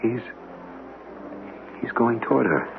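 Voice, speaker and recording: An actor playing an earnest, courteous gentleman in a ghost mystery, measured and polite in a radio drama. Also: mid-range voice, animated, gravelly, a crowd in the background. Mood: shaken, grave. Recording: narrow, noisy old radio broadcast.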